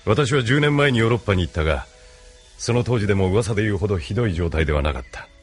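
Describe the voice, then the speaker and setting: 男性声優がアニメのオーディオドラマで、落ち着いて威厳のある中年の軍大佐を演じている。. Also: male voice, on the low side, lively intonation, slightly rough, soft background music. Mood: grandly reflective. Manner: measured, authoritative. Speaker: voice actor. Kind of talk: anime audio drama acting.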